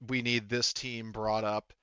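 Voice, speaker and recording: A man running a tabletop game as game master in a casual, halting way, rephrasing himself as he goes. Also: lowish voice, little pitch movement, bright, forceful tone, clear, resonant tone, no room sound, dull audio.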